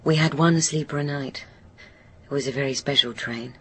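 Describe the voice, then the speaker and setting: A woman's voice: very deep voice, moderate pace, a bit bright, husky, heavily acted. A woman playing a haunted, wistful older woman burdened by guilt, speaking hesitantly and reflectively in a radio drama. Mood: wistful, subdued. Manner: hesitant, reflective, breaking into near whispers. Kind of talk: naturalistic radio drama acting.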